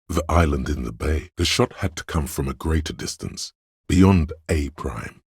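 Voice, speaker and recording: A man voicing an analytical inner voice in a video game, narrating in a measured, dryly deadpan and precise way. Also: rumbling low, husky, dry recording, studio quality, exaggerated voice. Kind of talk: scripted game narration, second person.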